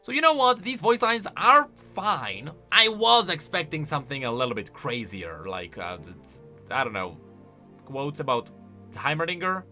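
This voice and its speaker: A man delivers casual commentary on game lore in a bright, slightly high voice. His pitch swings in a lively way as he reasons aloud and stresses his points. Soft music plays behind him. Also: moderate pace. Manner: expressive, emphatic, thinking aloud.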